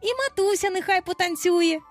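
A woman's voice: very high-pitched, moderate pace, faint music behind, pitched above the performer's own voice. A woman voicing squabbling small boys in a storybook, indignant and whiny, exclaiming insistently. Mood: eager, pestering.

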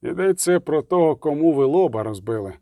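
A man's voice: on the high side, lively intonation, a little fast, dark tone, dry recording, studio quality. A man voicing a stubborn, aggrieved villager in a fantasy audiobook, rustic, haggling and insistent.

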